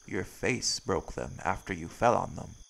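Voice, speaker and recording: Dude doing a cocky scary werewolf, super gravelly low voice, blunt n sarcastic, audio rp. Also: male voice, animated, measured pace, background noise, heavily acted. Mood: sardonic, curt. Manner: blunt, curt, sardonic. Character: brash, menacing male werewolf hunting his quarry. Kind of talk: scripted audio roleplay acting.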